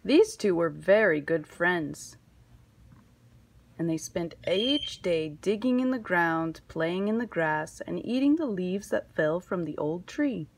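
A woman reading a children's picture book aloud in an expressive, deliberate storytelling manner. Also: fairly low pitch, breathy edge. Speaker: storybook reader.

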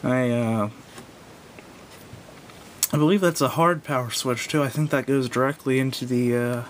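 A man giving a casual, unscripted hands-on product review in a hesitant, rambling, self-correcting way. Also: moderate pace. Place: indoors at a wooden table. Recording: noisy home recording with low rumble.

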